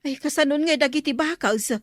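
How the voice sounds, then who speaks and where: A high-voiced, grumbling village woman with an Ilocano accent , played by an actress. She haggles plaintively over her cattle in a radio comedy. The sound is dry and close. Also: fairly quick, no room sound, lightly acted. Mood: exasperated lament. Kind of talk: radio comedy drama acting.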